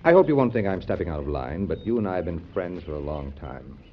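Animated, candid presidential confidant. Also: male voice, sits low, quick pace, a little hiss or hum. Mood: concerned, candid. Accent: American. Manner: conversational, candid, tactful. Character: loyal, frank male friend and adviser to a president. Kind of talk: old-time radio drama acting. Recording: dull, lo-fi classic radio recording.

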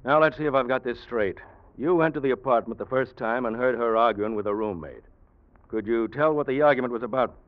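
Actor as brusque police lieutenant, muffled old recording. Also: male voice, medium pitch, brisk, close to an everyday voice. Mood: businesslike. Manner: curt, businesslike, commanding. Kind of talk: 1940s radio acting. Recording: muffled vintage radio recording.

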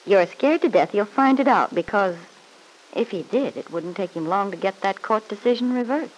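A woman's voice: mid-range voice, expressive, moderate pace, natural voice, low-quality recording. An actress playing a smug, needling married woman in an old-time radio soap opera, with an arch, mock-sweet, taunting manner. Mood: knowing, taunting.

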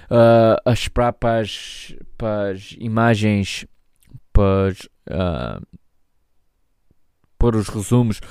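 A man in his thirties with an American accent speaking European Portuguese, hosting a solo podcast and often pausing and restarting as he searches for words. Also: fairly low pitch, darkish tone, clean tone, dry recording, clean studio sound. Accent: European Portuguese, spoken by an American. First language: English. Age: 30s. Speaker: podcast host.

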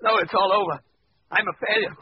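An actor playing a crazed, despairing villain with delusions of mystic power, in theatrical, grandiose old radio style. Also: male voice, high voice, fairly even intonation, a little fast, close to an everyday voice. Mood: broken despair. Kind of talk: 1940s radio acting. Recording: noisy lo-fi old radio recording.

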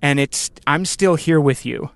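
A man speaks in a somewhat high voice, recorded close and dry. He is a podcast interviewer, talking in an earnest, reflective way as he thinks through his ideas aloud. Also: a little slow, vocal fry, dry recording. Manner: earnest, thoughtful, musing.

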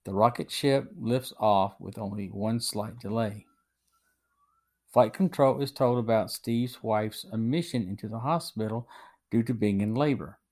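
A man's voice: lowish voice, unhurried, a little mellow. A man hosting a podcast, reading a scripted review in a narrating style. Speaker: podcast host.

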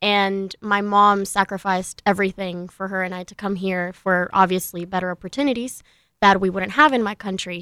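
A woman's voice: mid-range voice, a bit bright, clean tone, dry recording. A young woman from the Dominican Republic, a guest actress answering interview questions earnestly in a studio. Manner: earnest and open, repeats words for emphasis. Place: podcast studio.

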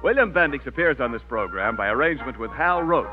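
A man's voice: medium pitch, animated, fast talker. An American man announcing and reading scripted advertising in 1940s radio style. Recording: narrow-band 1940s radio broadcast with music.

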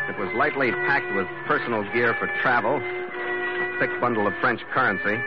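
A man's voice: mid-range voice, close to an everyday voice. An actor playing a tough, wry sea captain who narrates his own radio adventure in a clipped, sardonic, hard-boiled manner. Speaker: lead actor and first-person narrator. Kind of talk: 1940s-50s radio adventure narration and acting. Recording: dull old radio recording.

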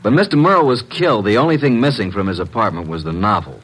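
A quick-talking, deep-voiced detective's assistant in an old American radio mystery. He is confident, brisk and matter-of-fact. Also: animated, a bit bright, husky, rough recording. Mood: probing. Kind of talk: classic American radio drama acting.

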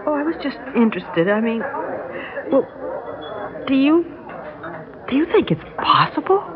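A woman's voice: high voice, very animated, unhurried, a crowd in the background. Flustered young woman, tinny radio recording. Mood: distracted, uneasy. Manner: hesitant, stammering, exasperated. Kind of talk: classic radio drama acting.

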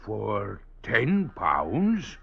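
An actor playing a simple, eager shepherd with a raspy voice in an old radio drama. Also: male voice, on the low side, huge pitch swings, drawn-out words, heavily acted. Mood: wary, eager. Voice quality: raspy, gravelly. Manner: exclaiming, folksy dialect. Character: rustic male shepherd, simple, eager and easily bought. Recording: dull, lo-fi vintage radio recording.

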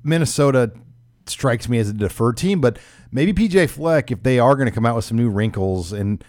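A man's voice: mid-range voice, expressive, normal speed, darkish tone, dry recording, clean studio sound. A man with an American accent hosting a conversational sports talk show. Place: studio.

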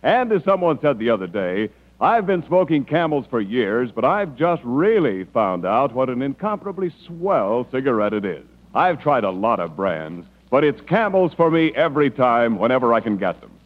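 A man delivering a polished, measured commercial pitch as a 1940s radio announcer. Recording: muffled 1940s radio broadcast.

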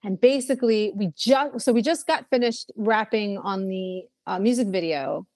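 A woman's voice: medium pitch, normal speed, a little mellow, no room sound, clean studio sound. A young woman, a musician guest, chatting casually in an interview, rambling with lots of fillers and restarts.

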